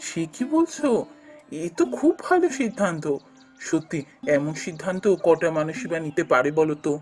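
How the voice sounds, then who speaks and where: An actor playing a respectful, humble, well-meaning young son-in-law in a fairy-tale cartoon, speaking earnestly. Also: male voice, a little high, sing-song, normal speed, darkish tone, faint music behind. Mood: admiring.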